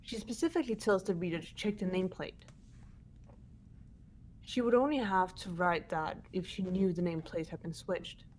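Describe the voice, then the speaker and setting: A woman voicing a composed, analytical teenage girl in a fan-dubbed mystery game, measured and matter-of-fact. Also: on the low side, a little mellow, slight background noise, close to an everyday voice. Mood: calm, analytical.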